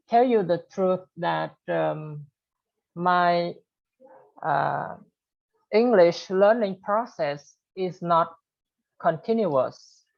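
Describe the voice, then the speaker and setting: Slow hesitant man. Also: sits high, vocal fry. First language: Khmer. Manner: hesitant, many pauses and fillers. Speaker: speaker at an English learners' group. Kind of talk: informal talk to an online group. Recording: dull lo-fi recording.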